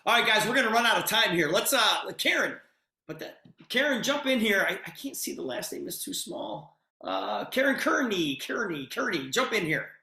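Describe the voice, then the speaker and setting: Male online-meeting host, enthusiastic and informal, bright high-pitched delivery, repetitive emphasis, echoing room. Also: very animated, normal speed. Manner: repeats phrases for emphasis. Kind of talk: enthusiastic, informal moderating of a group call. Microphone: video call. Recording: roomy, echoing video-call sound.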